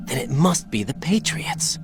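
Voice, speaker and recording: Young field operative, earnest, hot-headed, widely swinging intonation, video game cutscene, faint music. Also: male voice, mid-range voice, measured pace. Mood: probing. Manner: questioning, excitable.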